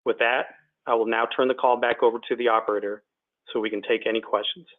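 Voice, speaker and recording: Male finance executive, mid-pitched, even and formal scripted read, narrow telephone audio. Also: quick pace. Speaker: company finance executive presenting quarterly results. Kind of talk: scripted earnings presentation. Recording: tinny narrow phone line.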